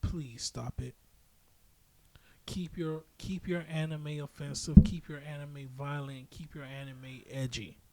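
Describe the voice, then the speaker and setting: Blunt, higher-pitched man over background noise. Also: fairly even intonation, measured pace. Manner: blunt, emphatic, frequently swearing. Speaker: podcast host. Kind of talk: casual opinionated podcast commentary.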